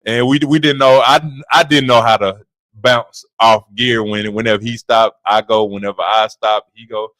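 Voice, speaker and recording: A man with a deep voice co-hosting a sports podcast, talking earnestly and repeating himself as he stumbles over words. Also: steady tone, moderate pace, fairly bright tone, dry recording. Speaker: podcast co-host. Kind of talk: casual podcast chat.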